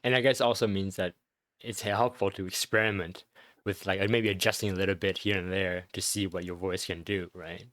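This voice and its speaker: Brief: male podcast host, very low voice, dry close sound, searching questions. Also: fairly even intonation, a bit bright, no room sound. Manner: thoughtful, halting questions with restarts. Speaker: interviewer. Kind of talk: casual interview.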